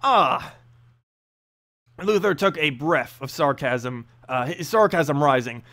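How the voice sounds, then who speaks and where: Animated, high-pitched man reading fantasy. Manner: halting, stumbling over the text. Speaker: narrator. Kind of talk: reading a fantasy story aloud.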